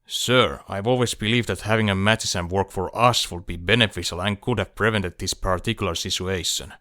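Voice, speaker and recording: A man playing a controlled, quietly defiant officer with a flat, unemotional manner in a fan audiobook. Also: low-pitched, fairly quick, no echo, studio quality.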